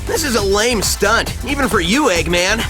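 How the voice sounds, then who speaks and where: Youthful cartoon game hero, cocky, breezy, teasing, defiant, raised pitch, lively inflection. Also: male voice, normal speed. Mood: mocking, confident. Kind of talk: animated video game cutscene acting. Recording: music under the voice.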